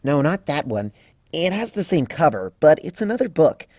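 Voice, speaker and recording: Man putting on a high-strung young pony mare. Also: sing-song, a little mellow, dry recording, clean studio sound. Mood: fussy. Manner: high-strung, rushes and fusses, flies into panic. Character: frazzled bookish young female pony scholar.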